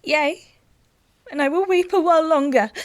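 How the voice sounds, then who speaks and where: A woman playing a proud, sharp-witted young noblewoman in a Shakespearean radio play, halting and emotional, guardedly in love. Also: high voice, no echo, close to an everyday voice. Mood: wounded. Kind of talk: Shakespearean radio drama acting.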